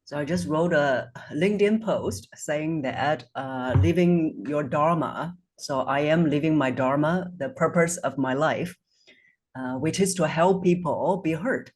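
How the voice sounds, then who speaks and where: Woman, 40s, voice coach guest; husky tone, measured and earnest delivery. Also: sits low, darkish tone. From Singapore. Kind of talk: interview guest answering questions.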